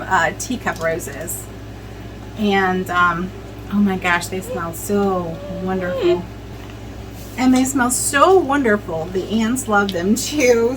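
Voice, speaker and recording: A middle-aged woman talks casually in her home kitchen as she works, wandering from topic to topic. She fills every pause with ums and restarts her sentences, at an ordinary middle pitch. Faint noise hums behind her. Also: a bit bright, rough recording. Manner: rambling, chatty, many ums and restarts. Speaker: vlogger. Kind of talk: casual chat while preserving herbs.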